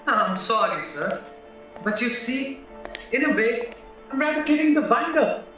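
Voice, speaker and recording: A man's voice: very high voice, lively intonation, measured pace, pushed and bright, full character voice. A man acting a nervous, naive young drifter in a radio play, chatty, polite and hesitant. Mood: anxious. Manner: anxious, polite, hesitant, rambling. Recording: roomy, distant, muffled, music underneath.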